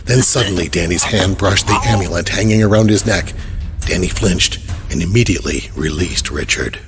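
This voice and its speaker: A man narrating a horror audio drama in a grave, measured storytelling manner. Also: sits low.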